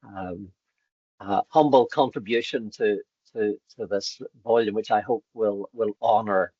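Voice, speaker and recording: A man who is a contributing scholar speaks haltingly on a podcast, often restarting his sentences. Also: on the low side, darkish tone, husky, no room sound. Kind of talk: conversational interview. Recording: dry, muffled lo-fi audio.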